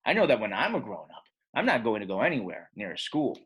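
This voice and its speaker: A school-hating eight-year-old boy telling his storybook tale, acted out by a man. He is grumpy and sure of his opinions, with very lively, swooping emphasis and a slightly rough, husky tone. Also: fairly low pitch, fast talker, heavily acted. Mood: opinionated. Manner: emphatic, expressive. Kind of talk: first-person children's storybook narration.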